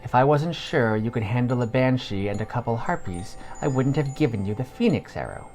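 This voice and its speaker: A man's voice: medium pitch, animated, brisk, darkish tone, faint music behind, natural voice. A man voicing an aloof wizard cat shopkeeper in a curt, neutral manner for a fantasy story podcast. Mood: indifferent, impatient.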